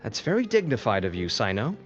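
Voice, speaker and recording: A man's voice: lowish voice, huge pitch swings, fairly quick, dull audio, soft background music. An actor playing a friendly young male scholar in a video game, speaking in a casual, conversational way.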